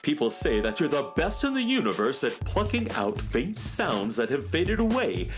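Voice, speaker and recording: A man's voice: fairly high pitch, huge pitch swings, moderate pace, faint music behind. A man playing an eccentric handyman of the galaxy, part scientist and part magician, fussy and theatrical, in a children's radio drama. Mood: earnest.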